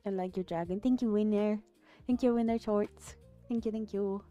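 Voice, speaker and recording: A woman's voice: mid-range voice, steady tone, moderate pace, dark tone, highs cut off, soft background music. A woman with an Italian accent, chatting casually on a live art stream, reading chat aloud and trailing off with fillers. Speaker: artist streamer.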